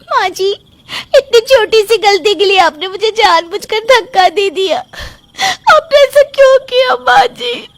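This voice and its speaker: A woman voicing a scheming, manipulative young wife in an animated story, talking in a theatrical, whining way. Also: extremely high pitch, a little slow, exaggerated voice. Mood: fake crying. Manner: theatrical, whining, fake tearful. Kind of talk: cartoon storybook voice acting.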